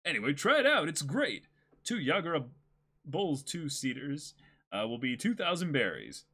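A man's voice: fairly high pitch, very animated, normal speed, pushed and bright, husky, heavily acted. A man voicing a helpful, matter-of-fact shopkeeper from a comic, explaining things plainly to customers. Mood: explanatory.

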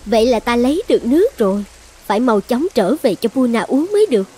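A woman voicing a proud young dragon goddess in an animated fairy tale, with theatrical, clear enunciation. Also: fairly high pitch, fast talker. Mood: self-assured. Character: proud young dragon goddess, devoted then vengeful wife.

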